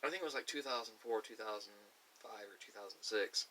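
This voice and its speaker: A man's voice: fairly low pitch, a little fast, bright, forceful tone. A man telling a casual, rambling personal story, hesitant and often repeating and correcting himself. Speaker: ASMR video creator. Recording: clear background hiss.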